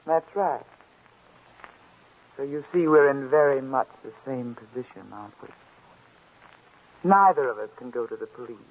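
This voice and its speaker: A woman's voice: extremely low pitch, normal speed, long pauses, phone-quality sound. A woman playing a brazen, coolly confident young blackmailer in a 1940s radio drama, with an arch, insinuating delivery. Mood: smug, insinuating. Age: young adult. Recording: band-limited old radio recording.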